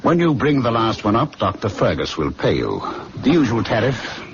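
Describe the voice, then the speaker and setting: A domineering, worldly medical student in a radio drama. His low voice moves expressively, commanding and cynical throughout. The old recording is dull with faint noise. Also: very animated, normal speed. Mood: brisk, businesslike. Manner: commanding, sardonic, theatrical.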